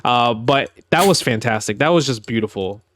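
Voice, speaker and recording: A man's voice: mid-range voice, lively intonation, moderate pace, fairly bright tone, no room sound. A man co-hosting a podcast in a casual, rambling way, repeating words, leaning on "like" and checking for agreement. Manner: repeats words, heavy use of "like", seeks agreement with "right?". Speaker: podcast co-host. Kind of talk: casual chat.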